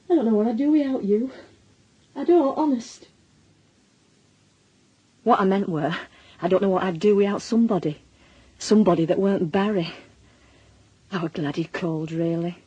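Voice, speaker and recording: A woman's voice: medium pitch, normal speed, pauses often, mellow, husky, dull audio, lightly acted. An actress playing a worried, middle-aged Northern English mother with a dry, sardonic manner in a naturalistic radio drama. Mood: tender, confiding. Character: worried, wry Northern mother of a suspected lad.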